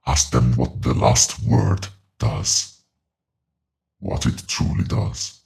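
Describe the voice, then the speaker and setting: An actor playing an ancient, sorrowful otherworldly witness to the dead in a horror audio drama, solemn and ominous in measured phrases. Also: male voice, rumbling low, expressive, gravelly, darkish tone, full character voice. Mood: grave warning.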